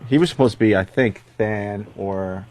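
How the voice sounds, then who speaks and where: A man's voice: fairly low pitch, normal speed, a little mellow, slight background noise. A man hosting a radio show, talking casually with a needling, profane, teasing manner.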